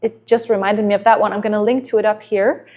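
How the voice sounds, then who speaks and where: A woman's voice: mid-range voice, level tone, fast, a little mellow. A woman from Denmark, a native Danish speaker in her thirties, giving earnest relationship advice to camera and asking many rhetorical questions.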